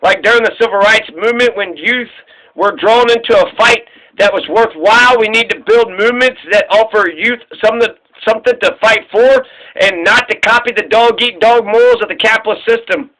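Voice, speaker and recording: High-pitched, bright-voiced man reading aloud. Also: fairly quick, low-quality recording. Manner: stumbles and repeats phrases while reading. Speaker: video creator reading aloud. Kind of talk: reading a candidate questionnaire aloud, with asides.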